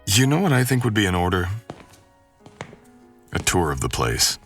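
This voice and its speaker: An extremely low, bright-edged male voice from an actor plays a mysterious, wealthy lord of the manor in a boyfriend ASMR roleplay. He is suave and possessive, with courtly, old-fashioned manners. Music plays behind him. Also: sing-song, normal speed, heavily acted. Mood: suave, charming.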